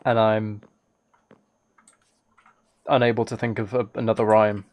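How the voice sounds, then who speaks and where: A man's voice: lowish voice, little pitch movement, unhurried, darkish tone. A man live streaming a game, rambling and thinking aloud as he chats casually with viewers. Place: indoors at a computer, keyboard clicks behind.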